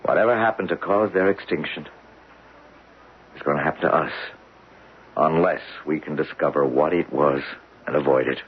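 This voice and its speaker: An actor playing an earnest, alarmed geologist in a radio drama, speaking with intense, theatrical emphasis. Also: male voice, a bass voice, normal speed, pauses often. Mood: awed, grave. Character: earnest, urgent male geologist, alarmed by his discovery. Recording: dull, noisy vintage radio recording.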